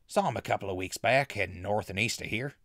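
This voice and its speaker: A man's voice: fairly low pitch, fast talker, fairly bright tone, no room sound, studio quality. A man playing a friendly, eccentric hermit hunter with chummy, drawling backwoods talk in a comic post-apocalyptic audio drama. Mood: folksy, sure of himself.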